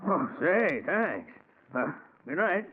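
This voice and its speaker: A man's voice: fairly high pitch, big pitch swings, slow, husky, full character voice. An actor playing a folksy, chatty, plain-spoken delivery man in 1940s radio drama style. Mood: mildly puzzled, obliging. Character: affable, plain-spoken working-class male delivery man. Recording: tinny 1940s radio recording.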